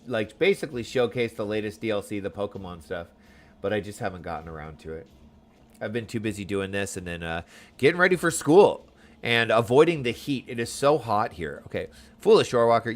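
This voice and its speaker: A man giving casual, chatty live gameplay commentary as a streamer, reacting informally. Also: medium pitch. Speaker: game streamer.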